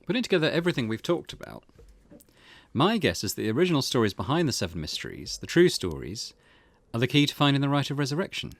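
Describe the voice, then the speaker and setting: A man's voice: mid-range voice, sing-song, fast talker, no room sound. A man reading the part of an earnest, polite young man, considerate and full of questions, in a visual novel. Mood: thoughtful. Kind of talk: visual novel character read-aloud.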